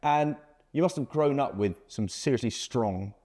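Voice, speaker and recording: A man hosting an interview, upbeat and enthusiastic, firing off quick questions. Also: medium pitch, lively intonation, mellow. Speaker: podcast host. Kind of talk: casual interview.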